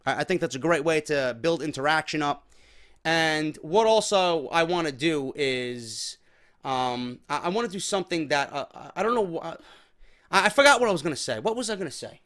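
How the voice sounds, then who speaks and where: A man's voice: a little high, animated, moderate pace, a bit bright. A man with a New York accent hosting a podcast, chatting casually to viewers in an informal, emphatic, sweary way. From New York, USA. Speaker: podcast host.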